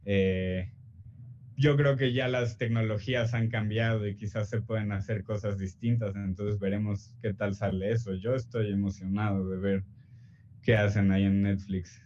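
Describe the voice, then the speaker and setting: A man's voice: lowish voice, fairly even intonation, moderate pace, a little mellow, a little hiss or hum. Hesitant Mexican man, dull lo-fi audio. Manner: hesitant, many fillers and restarts. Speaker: author, interview guest. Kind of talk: relaxed conversational interview answers.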